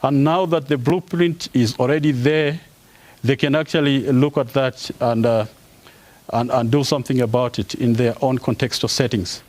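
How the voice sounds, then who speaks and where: A man in his forties from Zambia, giving a formal academic presentation as a professor. He pauses often on "uh" and restarts his phrases. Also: mid-range voice, fairly even intonation, darkish tone. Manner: halting, with frequent "uh" pauses and restarts. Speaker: professor giving an inaugural lecture.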